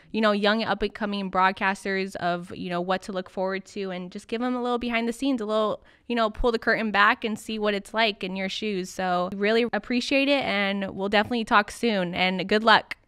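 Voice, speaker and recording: A woman's voice: mid-range voice, fairly even intonation, fairly quick, few pauses, sharp tone, clear, resonant tone, dry recording, studio quality. A woman hosting a podcast and interviewing a guest in a casual, conversational way.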